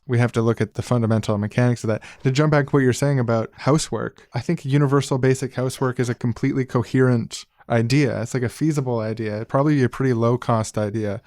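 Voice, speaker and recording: A man's voice: mid-range voice, steady tone, quick pace, hardly any pauses, a little mellow, no echo, studio quality. A man co-hosting a podcast, explaining ideas in an articulate but casual way with informal asides. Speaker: podcast co-host. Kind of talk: casual discussion.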